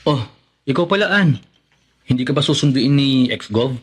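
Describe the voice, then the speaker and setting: A man's voice: medium pitch, animated, moderate pace, natural voice. A man acting a lovelorn, hesitant man in a Filipino radio drama, telling of his secret love. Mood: shy, hesitant. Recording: music under the voice.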